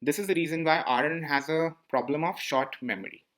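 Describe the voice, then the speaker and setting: Man, slightly high voice, teacher, talks over slides, repeats himself, quizzes the listener. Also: a little fast, a bit bright. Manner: repetitive, asks listeners questions. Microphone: voice-over on slide screencast.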